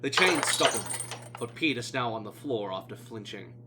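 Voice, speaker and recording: A man's voice: medium pitch, expressive, moderate pace, very noisy. A man reading scripted narration as the narrator of his own audio play. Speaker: narrator and writer of audio plays.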